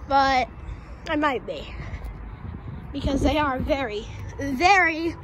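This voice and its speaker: Clear street noise surrounds a woman recording outdoors on a handheld phone. Her voice is high. She hosts a casual walking vlog, rambling and thinking out loud as she goes. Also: animated, takes it slowly, rough recording. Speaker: host of an abandoned retail vlog. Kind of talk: casual walk-around vlog commentary. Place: outdoors on a shopping street sidewalk.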